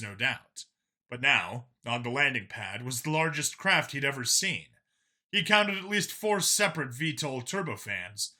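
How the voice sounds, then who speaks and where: Bright-voiced man narrating an audiobook. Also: mid-range voice. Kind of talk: dramatic scripted story narration.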